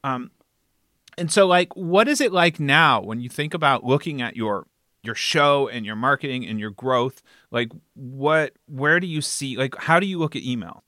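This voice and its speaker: A man hosting a conversational podcast interview in an animated way, often checking in with the listener as he talks. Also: medium pitch, normal speed, dry recording, studio quality. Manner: animated, frequently checking in with "right?".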